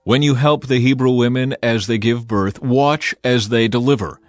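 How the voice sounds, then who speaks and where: A man's voice: medium pitch, fairly even intonation, moderate pace, dry recording, natural voice. A man voicing a ruthless, commanding king of ancient Egypt in a dramatized scripture reading. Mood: cold command. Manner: commanding, demanding. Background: background music.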